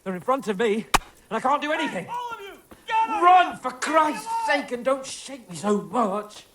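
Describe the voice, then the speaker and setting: An actor playing a grumbling, sarcastic nobleman on a raid in a medieval video game, exasperated and quick to swear. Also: extremely high pitch, expressive, noisy background. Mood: panicked complaining. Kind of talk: dramatic video game cutscene acting.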